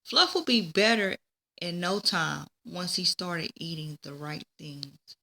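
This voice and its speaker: Woman reading as a patient, creaky-voiced kindly man. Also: fairly low pitch, lively intonation, slow, sharp tone, full character voice. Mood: patient, instructive. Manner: patient, explanatory. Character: kindly, instructive adult man advising a child.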